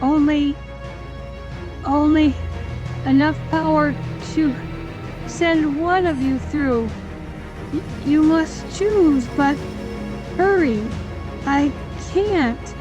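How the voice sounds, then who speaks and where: A woman playing an exhausted magical queen in an audio drama, straining and halting word by word. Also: sits high. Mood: strained, urgent. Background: dramatic music.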